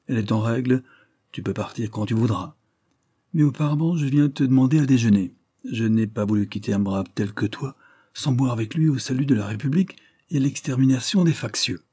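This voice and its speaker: Storybook villain acted by a man: menacing revolutionary official, ironic and contemptuous, declamatory delivery, raised pitch, dark timbre. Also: a little fast, slightly rough, no echo, clean studio sound, natural voice. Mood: menacing joviality.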